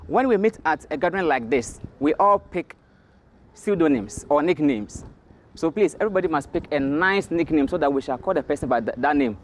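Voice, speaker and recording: A man's voice: on the high side, animated, fairly quick, slight background noise, close to an everyday voice. A man voicing a sly, greedy male tortoise in an oral folktale, speaking in a polite, emphatic, declamatory way. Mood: courteous, commanding.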